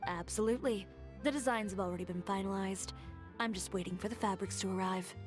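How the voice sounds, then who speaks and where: Cool young female designer, played by a woman: self-assured, blunt, mid-pitched, anime game delivery over music. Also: fast talker, lightly acted. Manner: composed, blunt, dry. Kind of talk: anime-style video game voice acting.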